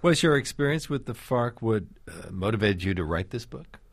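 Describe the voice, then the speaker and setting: Calm radio interviewer, a man with a very low voice, dry booth sound. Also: gravelly, dry recording. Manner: calm and inquisitive, slow with pauses.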